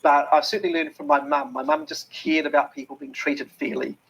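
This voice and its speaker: Gravelly, husky New Zealand man. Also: on the high side, very animated, a little fast, fairly bright tone, rough recording. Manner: earnest, many ums and restarts. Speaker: interview guest. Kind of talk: reflective interview talk.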